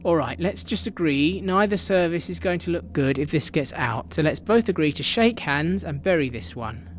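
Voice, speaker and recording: An actor playing an embattled, defensive, foul-mouthed intelligence chief in a radio drama. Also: male voice, fairly high pitch, expressive, normal speed, slight background noise, natural voice. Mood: guarded, firm. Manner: sweary, combative.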